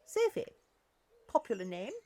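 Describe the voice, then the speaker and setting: A woman's voice: mid-range voice, huge pitch swings, normal speed, mellow. A woman playing an imperious, easily exasperated Queen in a dramatized audiobook. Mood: brisk, commanding. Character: regal, composed, exasperated Queen waking from a dream.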